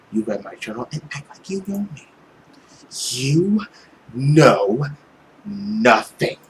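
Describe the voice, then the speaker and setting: A man voicing a bitter, anguished male vampire in a visual novel, with theatrical, drawn-out, sneering delivery. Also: medium pitch, sharp tone, slight background noise, full character voice, rough recording.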